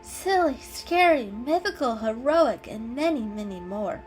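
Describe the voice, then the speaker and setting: Woman as female calico cat, kind, knowing, patient explainer, storybook character, animated intonation, soft music behind. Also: fairly high pitch, a little slow, low-quality recording. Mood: kindly, cheerful.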